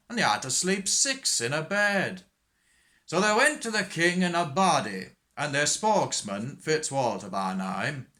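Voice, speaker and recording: A man's voice: fairly high pitch, bright, forceful tone, pitched above the performer's own voice, exaggerated voice. A man playing a droll working-class storyteller, reciting a rhymed comic monologue in a singsong, tongue-in-cheek way.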